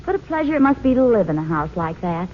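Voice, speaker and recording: A woman's voice: mid-range voice, big pitch swings, fast, lightly acted. An actress playing a fussy but gracious American housewife and mother in a 1940s radio comedy, with a polite manner. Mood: gracious, apologetic. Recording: muffled noisy radio recording, studio audience noise.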